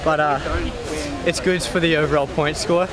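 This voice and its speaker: A teenage Australian male athlete answers casually in an interview. His voice sits a bit high. He is outdoors on a beach with a loud crowd around him. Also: steady tone, normal speed. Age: teens. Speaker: competitor in surf lifesaving.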